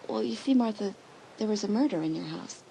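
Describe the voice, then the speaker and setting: A woman's voice: mid-range voice, lively intonation, brisk, vocal fry, poor audio. An actress playing a friendly, chatty teenage schoolgirl in a dramatised audiobook, speaking in a confiding way. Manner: conversational, confiding.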